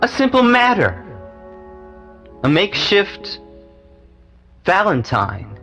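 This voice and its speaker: A man's voice: high-pitched, wildly swooping pitch, slow pace, bright, forceful tone, faint music behind. A man in his forties, a poet and musician, speaking in a studio. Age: 40s. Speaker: poet, musician and cable show producer. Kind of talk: poetry recital and interview talk. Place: equipment-packed studio.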